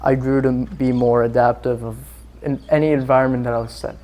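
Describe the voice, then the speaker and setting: A man's voice: medium pitch, steady tone, fairly quick, darkish tone, slight background noise. A man who grew up in Singapore, talking as an interview guest in an earnest, rambling way with lots of fillers. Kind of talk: casual interview chat.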